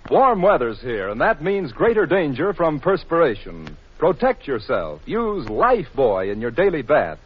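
A man's voice: fairly high pitch, huge pitch swings, moderate pace, slightly rough, faint music behind. A man reading a scripted commercial in 1940s radio announcing style. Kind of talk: 1940s radio advertising pitch. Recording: muffled, worn 1940s radio recording.

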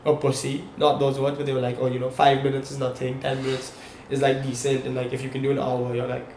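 Young man, animated storyteller, echoey room, faint hum. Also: mid-range voice, fast. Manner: animated storyteller, checks in with "okay? right?". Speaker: podcast co-host. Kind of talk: casual storytelling chat.

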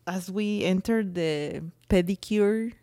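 A woman's voice: mid-range voice, slow pace, mellow, dry recording, studio quality. A woman from Mexico with a Mexican accent, co-hosting a casual podcast chat and teasing her partner with frequent laughs. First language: Spanish. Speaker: podcast co-host.

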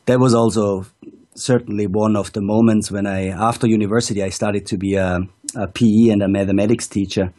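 A man with a low, mellow voice calls in to an interview over an online link. He tells his story steadily and methodically, with frequent "um"s between clauses. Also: steady tone, a little fast. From Austria. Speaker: ski school director, guest. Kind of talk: casual interview answers. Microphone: calling in over an online video call.

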